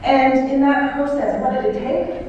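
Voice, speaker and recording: A woman, a politician speaking on a conference panel, friendly and halting with frequent fillers. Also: high voice, steady tone, a little mellow, a little hiss or hum. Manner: hesitant, many uh fillers, friendly. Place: large lecture hall. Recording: echoey hall, distant mic, dull sound.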